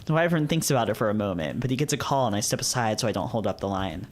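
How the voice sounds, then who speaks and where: A man's voice: lowish voice, expressive, very fast, soft background music. A man reading visual novels aloud and chatting casually as a streamer, often repeating himself in informal slang. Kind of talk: casual gaming chat and read-aloud narration.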